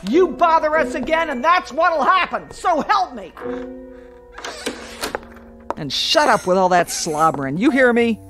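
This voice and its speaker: An actress playing a bitter, snappish aunt in a radio drama, scornful and rough in her speech. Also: sits high, lively intonation, measured pace, long pauses, music in the background. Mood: angry, scolding. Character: harsh, bitter, scolding working-class aunt and guardian.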